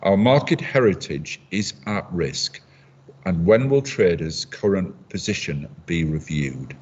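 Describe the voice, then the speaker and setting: Very deep husky man chairing. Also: lively intonation, a little mellow. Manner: measured, formal, reads from a script. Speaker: mayor chairing the meeting. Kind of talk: formal chairing. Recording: dull lo-fi audio.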